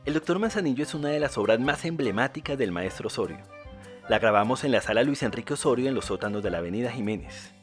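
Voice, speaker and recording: A man's voice: medium pitch, animated, fast talker, soft background music. A man reading scripted documentary narration.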